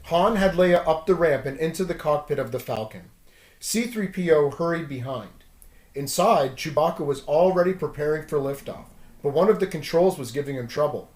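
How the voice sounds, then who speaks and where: A man with a fairly high voice narrates a children's adventure story aloud. His delivery is dramatic, leaning into the dialogue, and the room adds a clear echo. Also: moderate pace, darkish tone. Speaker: storybook read-aloud host. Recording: roomy echo.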